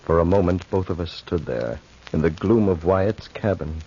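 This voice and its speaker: An actor playing a polite, uneasy gentleman passenger in old-time radio horror style, with a courteous, earnest delivery. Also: low-pitched, moderate pace, close to an everyday voice. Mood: grave. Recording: muffled vintage radio recording, faint noise.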